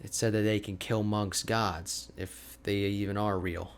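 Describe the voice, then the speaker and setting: A man playing an energetic, boastful, chatty ice mage hermit in an ASMR roleplay, with a playful, expressive, conversational delivery. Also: lowish voice, a little slow, smooth. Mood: explanatory. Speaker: audio roleplay voice actor.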